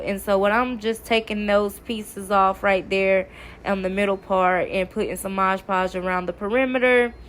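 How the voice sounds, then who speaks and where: A woman's voice: medium pitch, smooth. A woman, stuffy from a cold, chatting casually through a DIY craft tutorial. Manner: chatty, addresses viewers as y'all. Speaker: craft video creator. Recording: faint background noise.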